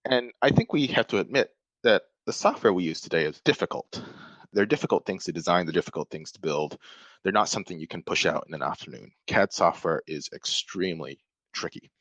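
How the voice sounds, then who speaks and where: A man's voice: low voice, fairly quick, a bit bright, no echo, muffled recording. A man from Malaysia, a podcast guest, explaining things thoughtfully in casual talk, often restarting his sentences. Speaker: podcast guest, software developer. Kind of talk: casual interview chat.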